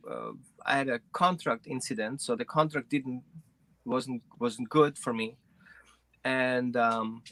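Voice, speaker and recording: Bright-voiced man from Greece. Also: medium pitch, dry recording. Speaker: guest, dog trainer. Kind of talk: personal storytelling in an interview.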